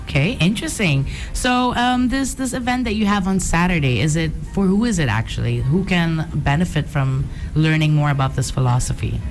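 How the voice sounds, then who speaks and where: Lower-voiced woman radio host. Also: animated, normal speed, hardly any pauses, faint music behind. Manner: curious, friendly questioning. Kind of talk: radio interview.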